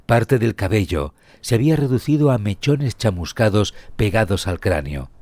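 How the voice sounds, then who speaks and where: A man hosting a true crime podcast, reading a scripted story in a measured, suspenseful way. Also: lowish voice, big pitch swings, a little mellow, no echo. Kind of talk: scripted dramatic storytelling narration.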